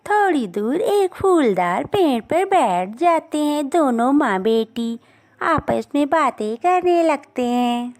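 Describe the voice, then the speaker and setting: A woman's voice: sits high, expressive, slow pace, a little mellow. A woman reading scripted narration for a children's cartoon story.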